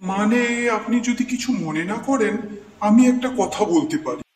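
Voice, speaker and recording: A man's voice: high voice, big pitch swings, normal speed, darkish tone. A man playing a jealous, vengeful village stall owner in a cartoon, speaking emphatically and insinuatingly. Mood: slyly insinuating. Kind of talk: cartoon moral-story dialogue. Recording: echoey, distant, faintly noisy hall sound.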